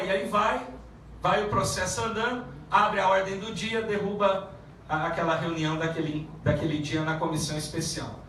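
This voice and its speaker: A man who is a federal deputy giving a halting public speech at a meeting, with many restarts and fillers. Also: sits high, pushed and bright, slight background noise. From Paraná, Brazil. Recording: echoey room from far off.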